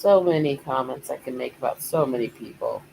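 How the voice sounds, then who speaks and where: A woman's voice: extremely low pitch, a little fast, gravelly, background noise. A woman hosting a live art stream, teasing her co-hosts in a dry, blunt way. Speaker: stream host and artist.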